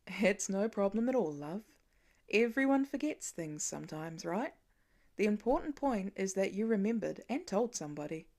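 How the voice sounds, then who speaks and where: A woman voicing a gentle, reassuring father who talks softly and tenderly in a story reading. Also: medium pitch, lively intonation, normal speed.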